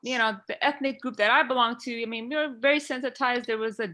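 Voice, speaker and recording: A woman's voice: on the high side, steady tone, fast, pushed and bright. A woman from Iran speaking earnestly on a podcast video call, heartfelt and rambling with many fillers. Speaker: podcast panelist.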